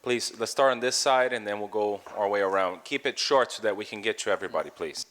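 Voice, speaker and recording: A man moderating floor questions in a large conference hall, brisk, polite and directive. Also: on the low side, fairly bright tone. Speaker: session moderator.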